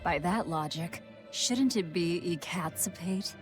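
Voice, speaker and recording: A woman playing a cold, guarded young woman in a video game, blunt, deadpan and dismissive. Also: on the low side, slow, loud music behind the voice. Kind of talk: dramatic video game voice acting.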